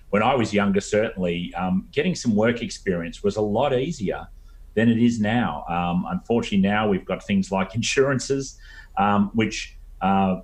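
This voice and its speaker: A middle-aged Australian man giving explanatory interview answers as a guest expert, in a halting way with frequent ums. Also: low voice, a little mellow.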